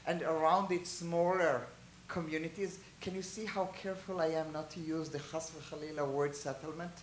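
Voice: mid-range voice, moderate pace, slight background noise. A teacher from Israel, raised speaking Hebrew and Hungarian, giving a chatty informal talk and asking the listeners questions. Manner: chatty, asks the listeners questions, animated asides. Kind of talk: informal lecture to a small group. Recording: roomy echo.

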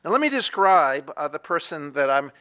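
A man's voice: a little high, very animated, normal speed, sharp tone. Rambling middle-aged male history lecturer. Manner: discursive, many fillers and restarts, asks the audience questions. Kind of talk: university lecture. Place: lecture hall. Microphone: moves away from the microphone at times.

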